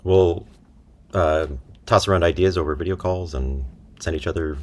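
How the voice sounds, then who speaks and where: A man's voice: low voice, moderate pace, darkish tone. A man talking as a guest in a casual interview, informal and easygoing. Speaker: interview guest, voice actor and writer.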